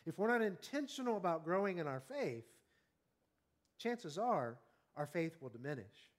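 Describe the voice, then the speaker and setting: A man's voice: on the high side, sing-song. Earnest man in his fifties preaching. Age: 50s. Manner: earnest, rhetorical questions, slows to stress key words. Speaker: pastor. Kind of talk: sermon.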